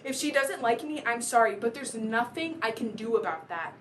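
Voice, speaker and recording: A woman's voice: a little high, lively intonation, normal speed, fairly bright tone. A young woman playing a bitter, wounded, neglected daughter in an emphatic, aggrieved stage soliloquy. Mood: hurt, resentful. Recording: clearly noisy amateur recording.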